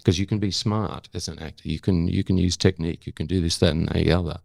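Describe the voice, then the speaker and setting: An older man, telling stories in conversation in a thoughtful, halting way that often corrects itself. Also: sits low, steady tone, vocal fry, darkish tone, no room sound, studio quality. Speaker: interview guest, former journalist and actor. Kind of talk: reflective conversational storytelling.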